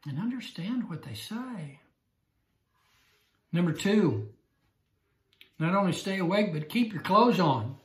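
Slow, emphatic older man teaching Scripture. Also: sits high, reverberant. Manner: slow, emphatic, repeats key phrases. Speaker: Bible teacher. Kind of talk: Bible study lecture. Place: small room indoors. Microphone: lapel mic.

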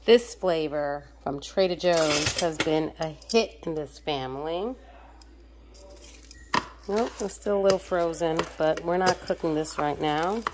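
A woman's voice: low-pitched, measured pace, background noise. Chatty woman cooking at home. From United States. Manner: chatty, narrating each step as she cooks. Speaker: home cook vlogger. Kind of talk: casual cooking narration. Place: home kitchen.

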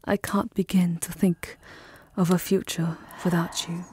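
A woman's voice: fairly low pitch, level tone, darkish tone, no echo. A woman voicing a lovesick immortal vampire countess in a tender, intimate, pleading ASMR roleplay. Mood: wistful, weary. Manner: breathy, intimate, pleading, drawn-out phrasing.

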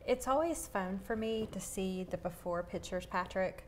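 A woman's voice: mid-range voice, moderate pace, a little mellow, faint music behind. A middle-aged woman with a Southern US accent, hosting a home segment in a polished, upbeat presenter manner. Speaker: TV show host. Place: large home kitchen, indoors.

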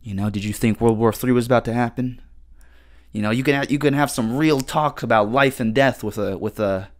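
A man's voice: mid-range voice, fairly quick. A man in his twenties hosting a casual solo podcast, rambling with slang, lots of swearing and restarts. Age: 20s. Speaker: podcast host.

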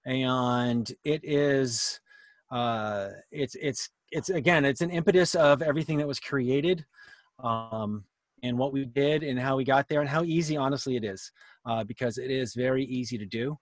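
A man's voice: medium pitch, moderate pace, no echo. A middle-aged American man giving an informal webinar presentation over a video call. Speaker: presenter, children's playwright and drama teacher. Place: indoor room at home, video call.

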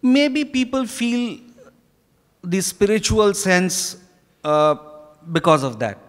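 A middle-aged man with an Indian accent, speaking as an interviewed author in deliberate, halting, emphatic phrases. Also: high-pitched, big pitch swings. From Kolkata, India. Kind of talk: reflective on-stage interview answers. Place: large hotel ballroom stage. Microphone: handheld microphone. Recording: echoey hall PA with long reverb.

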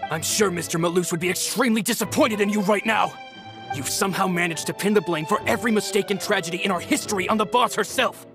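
A loyal, protective henchman in a video game. His voice is high for a man, urgent and emphatic, with loud music underneath. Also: fast talker. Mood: urgent, defiant.

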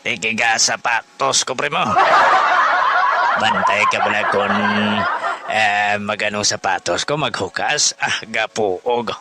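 A man's voice: lowish voice, heavy background noise. A man playing a sheepish, hesitant husband and father in a comic audio drama. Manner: hesitant, drawn-out, with many stammered interjections. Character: slow, sheepish husband and father with smelly feet.

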